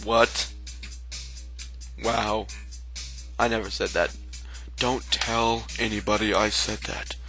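A man's voice: lowish voice, level tone, slow pace, long pauses, music in the background. A man giving casual, joking game commentary in a sarcastic manner. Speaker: gameplay co-commentator.

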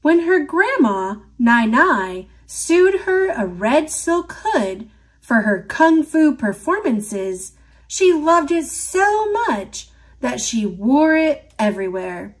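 Slow, expressive woman reading a storybook. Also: sits high. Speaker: storybook narrator. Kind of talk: expressive read-aloud for children.

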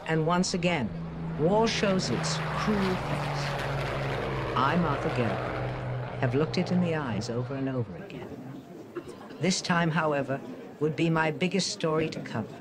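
A man's voice: a little high, lively intonation, measured pace, heavy background noise. A man voicing a determined, defiant female war correspondent in earnest, solemn first-person narration. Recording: voice-over under loud music.

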